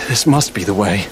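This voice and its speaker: A man playing an ailing, troubled but determined young man in a video game, speaking in a halting, strained way. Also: lowish voice. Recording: music behind the voice.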